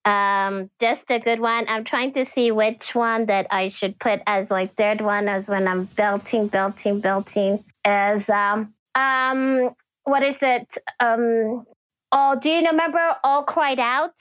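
A woman from Kenya, a podcast guest, chatting casually and hesitantly, often pausing to search for words and laughing. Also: on the high side, fairly even intonation, fairly bright tone, clear, resonant tone, dry recording, poor audio. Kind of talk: casual interview chat.

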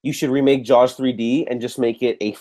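A man's voice: mid-range voice, fairly even intonation, fairly quick, dark tone. A man hosting a casual movie podcast, slightly nasal from a cold, chatty and swearing casually. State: getting over a cold, a bit nasal. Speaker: podcast host.

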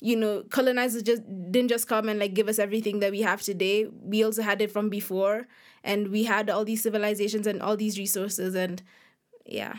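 A young woman from Nairobi speaks as a guest author in an interview. Her voice sits at an average pitch for a woman. She talks informally, peppering her answers with "like" as she explains herself. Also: level tone, a little fast. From Nairobi, Kenya. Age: young adult, about 20.

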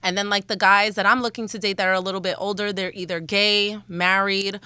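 Woman, 30s, podcast co-host; bright, forceful tone, frank and sassy, dry close sound. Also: mid-range voice, flat delivery, a little fast, smooth, no echo. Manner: blunt, outspoken, sassy. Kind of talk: casual chat.

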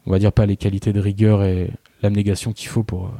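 A man's voice: lowish voice, level tone, fast talker, dark tone, dry recording. A man in his thirties, chatting casually and telling a self-mocking story. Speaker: guest. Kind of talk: casual podcast conversation.

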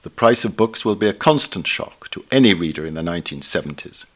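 Low-pitched elderly upper-class English man reading. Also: lively intonation, fairly quick, a bit bright. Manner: formal, emphatic, erudite. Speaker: book reviewer. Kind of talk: scripted book review read aloud.

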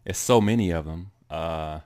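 A man being interviewed in casual chat, often pausing with fillers and restarting his sentences. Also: deep voice, a little mellow. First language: English. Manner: hesitant, many fillers and restarts. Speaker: guest.

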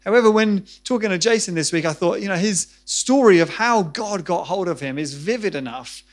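A man's voice: high voice, expressive, fairly quick. A man preaching a sermon to his church, earnest and explanatory, then introducing guests for an interview.

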